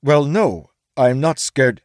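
A man's voice: mid-range voice, animated, takes it slowly, darkish tone, no echo, studio quality, voice pushed up. A man voicing a flustered, evasive male samurai. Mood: defensive. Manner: defensive, sputtering.

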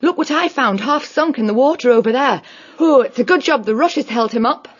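A woman voicing a brisk, commanding female otter who gives firm orders in a dramatised storybook. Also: high-pitched, animated, husky. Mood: relieved urgency. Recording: slightly dull lo-fi audio.

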